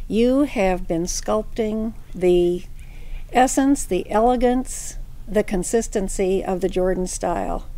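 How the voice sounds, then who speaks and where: A woman's voice: fairly high pitch, a little mellow. An older woman giving a formal, prepared tribute with ornate, theatrical and emphatic phrasing. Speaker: winery founder sending a tribute.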